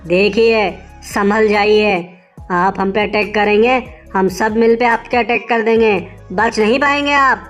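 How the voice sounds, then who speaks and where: A woman's voice: mid-range voice, unhurried, faint music behind, lightly acted. A woman voicing a firm bull giving a warning in a children's animal story.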